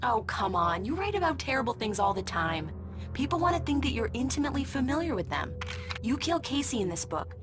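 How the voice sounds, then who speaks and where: Lively playful teasing wife. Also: female voice, on the high side, fairly quick, noisy background. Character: young adult female wife taking photos, playful, teasing.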